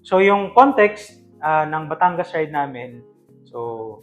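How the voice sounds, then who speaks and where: A man's voice: a little high, lively intonation, takes it slowly, muffled recording, soft background music. A man with a Filipino accent telling stories casually as a podcast co-host, mixing in English.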